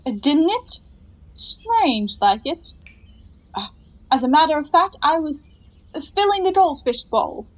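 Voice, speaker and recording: Sassy young 1920s girl, high voice, flippant and bantery, comedy radio play. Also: sing-song, unhurried, pauses often, low-quality recording. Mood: evasive. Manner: breezy, bantering, playfully sarcastic. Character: flippant, quick-witted young flapper woman, teasing and evasive.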